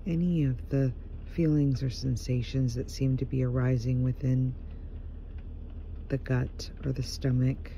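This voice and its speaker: A man leading a guided meditation in a gentle, hushed voice, leaving unhurried pauses between short phrases. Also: medium pitch, little pitch movement, vocal fry. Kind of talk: guided meditation, slow soothing narration. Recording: muffled, dull home recording with faint noise.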